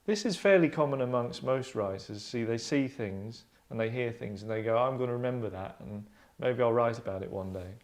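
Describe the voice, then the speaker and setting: A man's voice: medium pitch, lively intonation, brisk, hardly any pauses. A man, a writer narrating and discussing a script at a table read. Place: small living room, seated on sofas.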